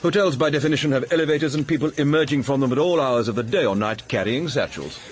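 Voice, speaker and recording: An actor playing a witty, unflappable cabaret playwright in a radio drama, with an arch, dry, urbane manner. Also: male voice, medium pitch, fast talker, lightly acted. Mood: dry defiance. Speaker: lead actor in a radio play. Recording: faint background noise.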